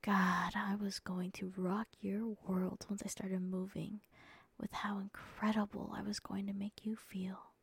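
A woman whispering an erotic story softly and dominantly into a close mic. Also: mid-range voice, flat delivery, unhurried, gravelly, slightly rough, no room sound. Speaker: erotic audio narrator.

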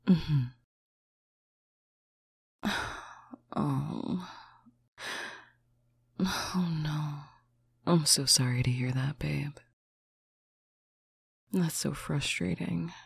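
A speaker in a slow, intimate roleplay, talking softly to a faraway partner on a bedtime call, often dropping into a whisper. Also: mid-range voice, gravelly, breathy edge, no echo. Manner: soft, affectionate, often whispered. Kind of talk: intimate roleplay monologue to the listener.

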